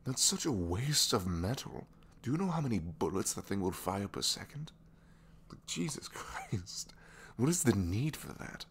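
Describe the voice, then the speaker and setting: A man's voice: sits low, huge pitch swings, a little slow, gravelly, a bit bright, a little hiss or hum, full character voice. A man playing a reluctant, secretly kind supervillain inventor in an ASMR roleplay, hesitant, wry and self-deprecating, rambling and sometimes dropping toward a whisper. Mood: exasperated. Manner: hesitant, wry, rambling, trailing tag questions, sighs, drops to whispers. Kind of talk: ASMR roleplay audio drama, one-sided conversation.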